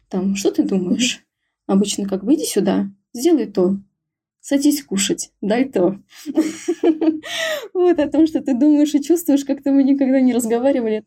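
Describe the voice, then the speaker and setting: A woman's voice: on the high side, mellow, husky. A young woman telling a podcast interviewer about her experience learning a language, in a candid, chatty and amused way. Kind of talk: casual interview talk.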